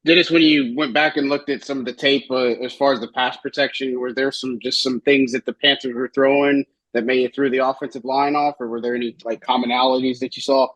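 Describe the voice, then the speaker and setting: Man, sports reporter, mid-range pitch, press conference questioning. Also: fairly quick, hardly any pauses, fairly bright tone, low-quality recording.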